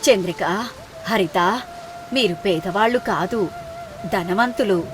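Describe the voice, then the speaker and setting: A woman's voice: mid-range voice, slow pace, background noise, voice pushed down, lightly acted. A woman voicing an earnest, wise old village grandmother in a moral story. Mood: grateful, wise. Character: old village woman, desperate then wisely grateful.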